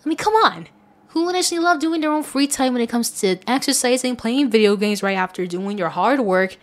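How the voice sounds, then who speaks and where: A woman's voice: on the high side, animated, brisk. A young woman, an audio content creator, answering follower questions in a casual, rambling and emphatic way. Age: young adult.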